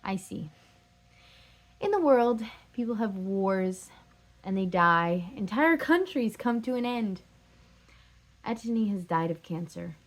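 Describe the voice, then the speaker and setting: Casting note: jilted woman in a stage drama, heartbroken, insistent, pleading, medium pitch played by an actress. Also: animated, takes it slowly, pauses often. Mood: anguished, accusing. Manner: emphatic, repetitive, pleading. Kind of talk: stage drama scene and monologue.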